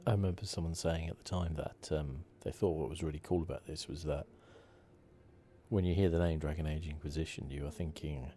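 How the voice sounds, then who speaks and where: A soft-spoken man giving relaxed ASMR commentary on a game, with dry humour. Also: extremely low pitch, brisk, slight background noise. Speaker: ASMR gaming channel host.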